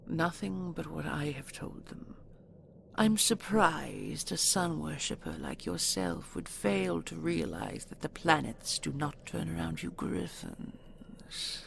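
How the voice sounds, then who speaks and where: A regal she-dragon, with a deeply creaky, growling voice. She speaks very slowly and haughtily, savoring each word with theatrical menace in a fantasy audio drama. There is faint noise behind her. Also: sing-song, full character voice. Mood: coolly intrigued. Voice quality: gravelly, breathy growls. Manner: haughty, theatrical, slow deliberate threats. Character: proud, menacing female dragon, regal and possessive. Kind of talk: audio roleplay acting.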